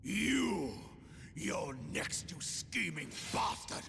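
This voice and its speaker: An actor playing a furious, grieving general who bellows with strained rage, in a game cutscene. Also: male voice, sits high, sing-song, very slow, creaky, pushed and bright, a little hiss or hum, exaggerated voice. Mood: enraged. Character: furious grieving male general, middle-aged warrior.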